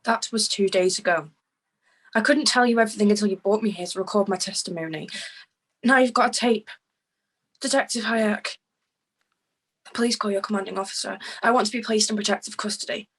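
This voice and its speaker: A woman performs a cornered woman under police questioning in a crime drama. She is defiant and emphatic, pressing her case in a medium-pitched voice. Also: brisk, long pauses, slightly rough, close to an everyday voice. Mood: defiant, accusing. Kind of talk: dramatic screenplay table read.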